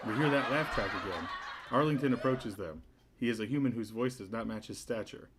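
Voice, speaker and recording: A man's voice: mid-range voice, a little fast, very noisy. A man narrating stage directions from a comedy script at a table read.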